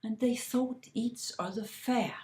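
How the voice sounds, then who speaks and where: A woman telling a fairy tale slowly and deliberately, sometimes dropping to a hushed whisper. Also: on the high side, roomy sound. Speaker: storyteller. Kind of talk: oral fairy-tale storytelling.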